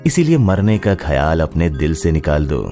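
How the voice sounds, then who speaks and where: A man's voice: very deep voice, big pitch swings, warm tone, faint music behind. A man playing a cold, possessive, vengeful husband in an audio drama, speaking in threatening commands. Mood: possessive, menacing. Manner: slow threatening, commanding delivery. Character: cold, menacing young male captor husband, possessive and vengeful. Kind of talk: Hindi romance audio drama acting.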